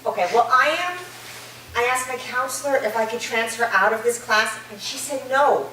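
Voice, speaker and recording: A woman's voice: fairly high pitch, moderate pace, bright, forceful tone. A woman playing an uneasy, serious and skeptical college student with a plain, wary delivery in a staged reading. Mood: anxious. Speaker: actor in a staged play reading. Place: live staged reading in a reverberant room. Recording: echoey room, distant mic, faint noise.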